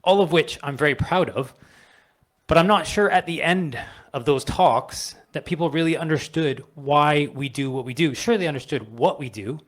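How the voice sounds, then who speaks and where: A man's voice: on the high side, moderate pace, dry recording. A middle-aged man from Canada with a Canadian accent, telling a personal story at an industry event in a candid, conversational way and admitting he is nervous. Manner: nervous but candid, conversational, walking around while speaking. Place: event venue, in front of a live audience.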